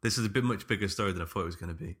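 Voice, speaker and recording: A man's voice: fairly low pitch, rapid-fire, fairly bright tone, breathy edge. A man hosting a podcast in casual chat, feeling his way through sentences with hesitant enthusiasm. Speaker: podcast host.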